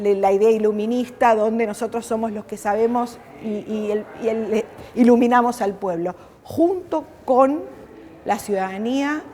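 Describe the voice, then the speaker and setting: A woman with an Argentine accent speaking unscripted as an academic, often halting and repeating words as she searches for phrasing. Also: on the high side, darkish tone, slight background noise. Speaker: university academic. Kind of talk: unscripted spoken reflection on camera.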